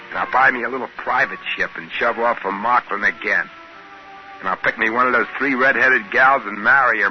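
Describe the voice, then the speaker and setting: A man's voice: medium pitch, natural voice. A man acting folksy, plainspoken spaceman narrator. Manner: colloquial, homespun grammar. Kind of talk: 1950s radio drama narration. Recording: muffled 1950s radio recording, faint noise.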